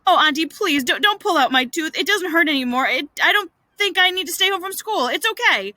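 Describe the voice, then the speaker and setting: A woman's voice: sits high, expressive, sharp tone, no room sound, clean studio sound, exaggerated voice. Woman playing a groaning, pitiful young boy. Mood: whining, pleading. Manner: groaning, drawn-out, halting delivery. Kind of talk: storybook character voice.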